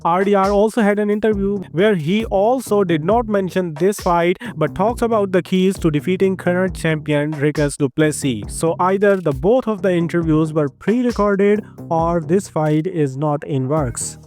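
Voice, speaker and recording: A man with an Indian accent hosting a fight news channel, reading a brisk scripted rundown of announcements. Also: high-pitched, darkish tone. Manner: brisk, list-like delivery with slightly nonstandard grammar. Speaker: MMA news host. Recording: music bed underneath.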